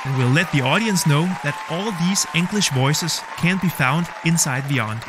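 Guy hosting a talent show, peppy, higher voice, music and crowd going behind. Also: animated, normal speed, background noise. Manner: upbeat, showman-like. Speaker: host.

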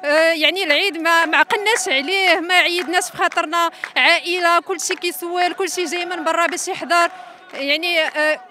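A woman's voice: extremely high pitch, fairly even intonation, quick pace, pushed and bright. A woman with a Moroccan accent making an appeal in a street interview outdoors. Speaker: interviewee, bride at a protest. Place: open square outdoors, crowd behind. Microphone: handheld reporter microphone. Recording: noisy outdoor crowd, clicks.

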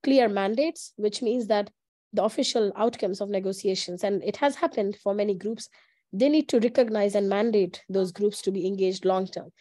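A young woman answering as a youth panelist on a video call, making her argument deliberately in short phrases. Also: mid-range voice, warm tone, dry recording. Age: young adult.

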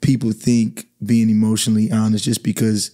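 A man in his thirties from the Bahamas, a basketball coach talking calmly as a podcast guest in a small studio. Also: fairly low pitch, normal speed. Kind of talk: podcast conversation. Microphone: studio mic on boom arm.

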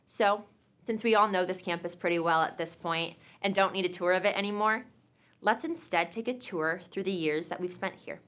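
A young woman, delivering a prepared commencement speech as a graduating student in a measured, reflective way. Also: fairly low pitch, a bit bright. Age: young adult.

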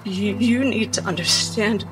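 A woman playing a wounded, bitter adventurer in a tabletop game, speaking in a halting, deliberate, heavy-hearted way. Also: medium pitch, music in the background, lightly acted. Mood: hurt, accusing. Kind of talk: in-character tabletop roleplay.

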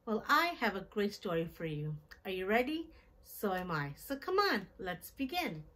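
A middle-aged woman hosting a children's storytime, reading a picture book aloud in simple, deliberate phrases and putting questions to her young listeners. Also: mid-range voice, big pitch swings, a bit bright. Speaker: children's storytime host and reader. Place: small decorated indoor room.

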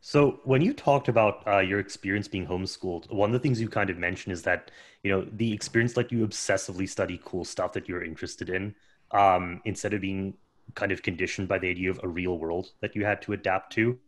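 Brief: male co-host, very low voice, slow careful questions, video call. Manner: measured, hesitant questioning. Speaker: managing editor, co-host. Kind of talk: interview questions. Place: indoors. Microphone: headphones on video call.

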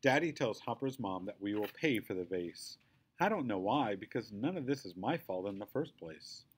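A man reading a children's book aloud as an expressive narrator. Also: fairly low pitch, normal speed, husky.

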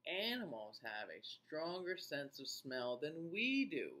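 A man in his forties reading a picture book aloud to young children in an expressive, teacher-like way, with playful asides. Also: fairly high pitch, slow pace, low-quality recording. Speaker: children's read-aloud host. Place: small indoor room.

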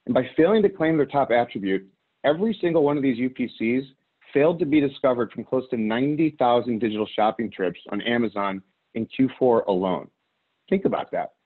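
A man from the Chicago area reads a prepared webinar presentation in a measured, emphatic way, ticking off examples one by one. His voice sits at a middling pitch. The sound is narrow and tinny, as if heard over a phone line. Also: breathy edge. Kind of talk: scripted business presentation. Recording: phone-like narrow band audio.

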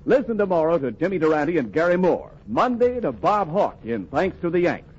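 A male announcer reads sponsor copy in 1940s radio style. His voice is high and very animated. It comes through a dull vintage recording. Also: brisk, breathy edge.

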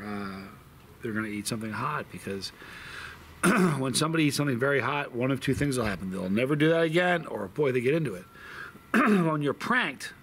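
A man's voice: medium pitch, a bit bright, a little hiss or hum. Middle-aged man, channel host, rambling casual talk, outdoor backyard, faint ambience. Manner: rambling, frequent restarts and fillers. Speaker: hot sauce channel host. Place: sunny backyard patio outdoors. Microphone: camera in front, seated close.

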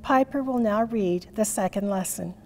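A woman in her sixties leading a church service as priest, reciting psalms and prayers in a measured, formal way. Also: medium pitch, crowd noise. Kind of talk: liturgical reading. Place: large church sanctuary with stained glass. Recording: long church reverb.

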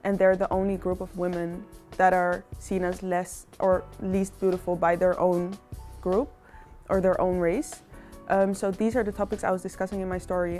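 A woman's voice: mid-range voice, dark tone. A young woman, a social psychologist, speaking earnestly and thoughtfully in a casual interview. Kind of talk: casual reflective interview talk.

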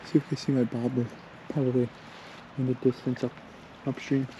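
Man, casual outdoor vlogger, mid-pitched, laid-back, takes mishaps in stride; clear background noise from the open air. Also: level tone, a little fast, mellow, highs cut off. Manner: easygoing, shrugs off setbacks, talks to viewers. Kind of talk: casual walk-and-talk vlog narration. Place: outdoors by a lake and trails.

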